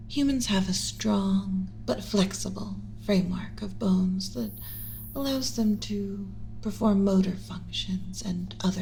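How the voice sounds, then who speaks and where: A woman plays a tender alien mother tending her human pet in an ASMR roleplay. Her voice is soft, dark and relaxed, often slipping into a breathy whisper. She speaks deliberately, clinical yet comforting, close to the mic with a faint bed of background noise. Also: mid-range voice, low-quality recording.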